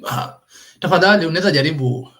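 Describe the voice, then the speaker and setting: A man with a Kenyan accent hosting an informal online interview, speaking haltingly and often repeating himself. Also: on the high side, expressive, a bit bright. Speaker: host of an online talk series.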